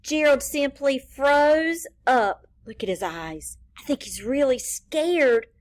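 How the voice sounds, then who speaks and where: A woman reading a picture book expressively to young children, with deliberate teacherly phrasing and asides to the listeners. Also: high-pitched, animated. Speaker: children's read-aloud reader pointing out rhyming words.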